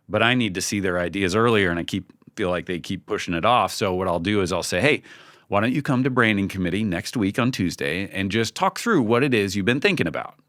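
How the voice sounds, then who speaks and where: A man giving casual, conversational advice as a podcast guest, informal and halting, often acting out bits of imagined dialogue. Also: lowish voice, fairly bright tone, dry recording, clean studio sound. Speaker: podcast guest, creative team leader.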